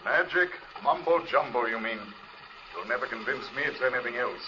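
A man's voice: mid-range voice, animated, moderate pace, very noisy. An actor playing a skeptical male film hero, heard as a tinny old movie soundtrack.

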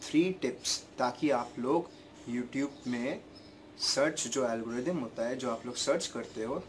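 A young man talks straight to a camera in his bedroom. His throat is sore, and he explains things earnestly, going over them again and again, his pitch sitting in the middle. A faint hum of the room runs behind him. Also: measured pace. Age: young adult. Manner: earnest, repetitive, gestures a lot with his hands. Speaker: YouTuber giving channel-growth tips. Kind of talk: casual explanatory vlog to camera. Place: bedroom at home, indoors. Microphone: camera in front at desk distance.

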